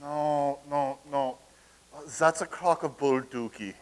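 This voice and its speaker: A man's voice: a little high, takes it slowly, clear, resonant tone. An actor in a comedic staged reading playing a blustering, scoffing skeptic who stammers as he starts. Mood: dismissive. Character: blustering skeptical man, stammering, naive admirer of tyrants.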